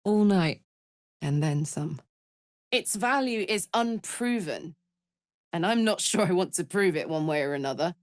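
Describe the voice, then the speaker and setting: A dry, close recording of a brash warrior woman from a fantasy video game. She is salty and blunt but big-hearted and earnest. Her delivery is unhurried, with lively, wide swings in pitch. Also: no echo. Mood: candid, reflective.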